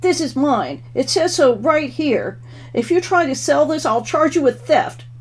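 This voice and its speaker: A woman's voice: high-pitched, expressive, a little slow, pitched above the performer's own voice. A woman voicing a feisty, argumentative young woman in a storybook reading, blunt and indignant. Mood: furious, possessive. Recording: clearly noisy home recording.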